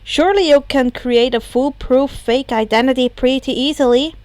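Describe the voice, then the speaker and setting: A woman's voice: high-pitched, moderate pace, darkish tone, dry recording, close to an everyday voice. A woman voicing a cheeky, bubbly teenage boy hero who talks in an eager, informal, persuasive way. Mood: cheeky, coaxing.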